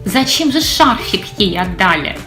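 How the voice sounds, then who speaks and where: A woman's voice: mid-range voice, big pitch swings, measured pace, sharp tone, soft background music. A woman voicing a grumpy old male owl who scolds and nags in preachy rhymed verse for a children's storybook. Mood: scolding. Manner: preachy, nagging, rhymed speech.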